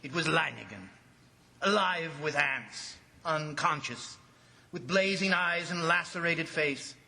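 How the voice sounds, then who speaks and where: An actor playing a grave commissioner, an awed eyewitness narrating in a measured, dramatic old radio style. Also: male voice, a little high, vocal fry, bright, forceful tone, full character voice. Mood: horrified, grave.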